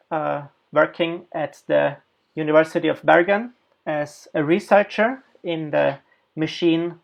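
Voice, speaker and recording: A man from Austria delivers a guest lecture at a slow, unhurried pace. His voice has a gravelly edge, and he pauses often with fillers between phrases. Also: a little high. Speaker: guest lecturer, designer and researcher. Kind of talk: academic lecture.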